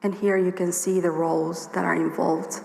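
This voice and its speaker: A woman with a hoarse voice giving a workshop presentation at a conference, explaining her points steadily and speaking straight to the audience. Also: lowish voice, flat delivery, normal speed, darkish tone. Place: conference hall. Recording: echoey hall with long reverb, faint ambience.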